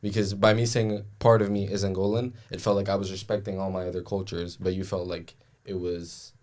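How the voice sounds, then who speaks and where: A man's voice: sits low, level tone, a little fast, a little mellow. A young man born in France, speaking reflectively in casual podcast conversation, hesitating often. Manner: reflective, hesitant with many uhs. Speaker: podcast co-host.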